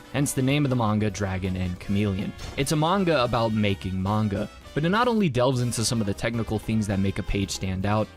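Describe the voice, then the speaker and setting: A man hosting a scripted video review, narrating with casual asides. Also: fairly low pitch. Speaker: manga reviewer, video host.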